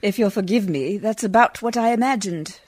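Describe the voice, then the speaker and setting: A woman's voice: medium pitch, normal speed, a little mellow, close to an everyday voice. An actress playing a prim, proper, easily flustered lady in a radio play, formal and a little hesitant. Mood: prim, reserved. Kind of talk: old-time radio play acting.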